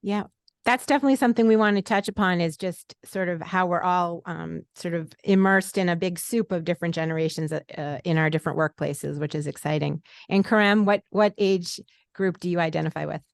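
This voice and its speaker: Clear, smooth woman moderating a panel. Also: fairly low pitch, brisk, no echo. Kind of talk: conversational webinar moderating.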